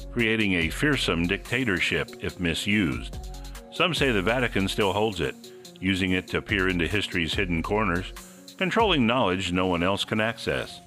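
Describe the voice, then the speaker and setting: A man's voice: deep voice, sharp tone. A man hosting a podcast, reading scripted mystery narration in a suspenseful, theatrical manner. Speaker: podcast host.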